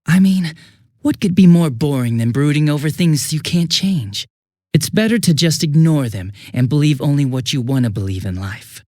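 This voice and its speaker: An American man playing a cynical, bitter young villain in a video game, with a husky voice and a flippant, contemptuous manner. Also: fairly high pitch, expressive, normal speed, darkish tone, no room sound, clean studio sound, natural voice. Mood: mocking, jaded. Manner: flippant, mocking, contemptuous drawl. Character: cynical, bitter, sneering young male villain turning unhinged.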